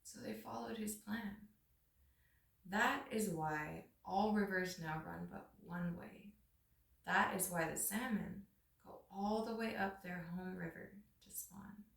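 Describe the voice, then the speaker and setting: A woman's voice: mid-range voice, takes it slowly, creaky, a bit bright. A woman giving a dramatic storybook reading aloud, recorded in an echoey room at some distance from the microphone. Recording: roomy echo, distant microphone.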